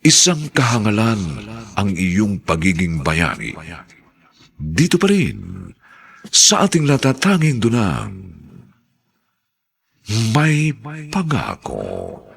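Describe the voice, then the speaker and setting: A man narrating and announcing a radio drama with solemn, theatrical, drawn-out phrasing. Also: on the low side, wildly swooping pitch, gravelly, fairly bright tone.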